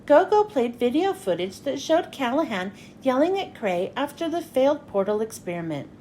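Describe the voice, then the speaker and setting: A woman reading a children's story aloud in an expressive, dramatic storytelling style. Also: sits high, normal speed, a little hiss or hum. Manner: expressive, dramatic with character lines. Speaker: children's book reader. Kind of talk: animated read-aloud storytelling for kids.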